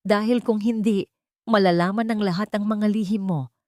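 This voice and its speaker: A woman's voice: mid-range voice, fairly even intonation, moderate pace, darkish tone, no echo, clean studio sound, voice pushed up, close to an everyday voice. A woman voicing a cold, threatening male creditor in an audio drama.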